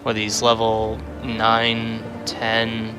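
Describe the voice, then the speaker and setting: A man's voice: on the low side, completely flat delivery, slow pace. A man giving casual livestream commentary on a game, rambling and thinking aloud as he plays. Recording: music behind the voice. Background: game music.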